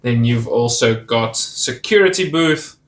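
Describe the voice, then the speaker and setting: A man's voice: medium pitch, animated, measured pace. Casual man reviewing toys, roomy echo. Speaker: toy set reviewer. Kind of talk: casual product review narration.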